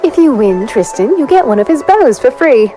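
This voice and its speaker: A woman's voice: high-pitched, very animated, normal speed, dark tone. An actress playing a playful, teasing warrior lady in a fantasy audio drama. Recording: music behind the voice.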